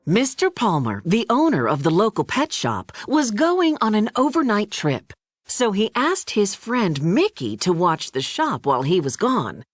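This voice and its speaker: A woman reads a children's storybook aloud with a hugely animated voice. She takes her time, narrating deliberately and expressively in a close, dry recording. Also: medium pitch, dry recording. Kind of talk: scripted children's read-along narration.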